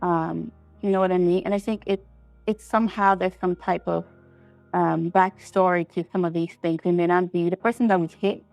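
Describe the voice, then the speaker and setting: Lowish, creaky-voiced woman guest. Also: fairly even intonation, normal speed, a little mellow, no room sound, faint music behind. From Liberia. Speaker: interview guest. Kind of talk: conversational interview answers.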